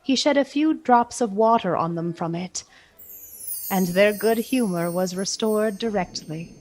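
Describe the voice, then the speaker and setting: A woman narrator reading a scripted story for a radio play in a measured, theatrical storytelling manner. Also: medium pitch, darkish tone.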